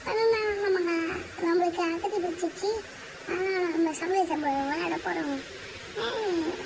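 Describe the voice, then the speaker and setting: Very high childlike voice, playful gaming host. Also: normal speed, creaky. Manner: bantering, acts out back-and-forth taunts. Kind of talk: playful mobile game commentary. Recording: noisy home-style recording.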